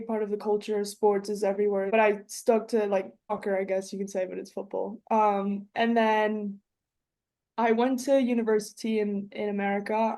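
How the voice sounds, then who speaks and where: A woman's voice: medium pitch, mellow. A woman in her twenties from Colombia, a professional footballer chatting as a podcast guest, with lots of fillers and restarts. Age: 20s. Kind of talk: casual interview chat.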